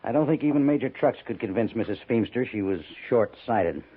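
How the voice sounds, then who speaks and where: An American man playing a resigned army major and post commander, measured and a little defensive, in 1950s radio drama. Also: mid-range voice, animated, breathy edge. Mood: doubtful, musing. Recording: tinny, narrow-band old radio recording.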